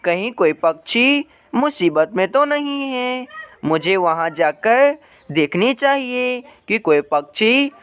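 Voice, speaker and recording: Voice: medium pitch, very animated, takes it slowly, a bit bright, clean tone. A narrator voicing a caring, hardworking mother bird in a cartoon story, talking earnestly to herself. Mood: concerned. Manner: earnest self-talk. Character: worried, hardworking mother sparrow, caring and determined.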